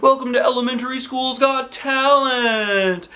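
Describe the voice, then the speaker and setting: A man's voice: very high voice, fairly even intonation, unhurried, pushed and bright, pitched above the performer's own voice, full character voice. A man voicing a showy school principal who hosts a televised talent show in a children's story, announcing and selling like a salesman. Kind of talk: children's book character voice.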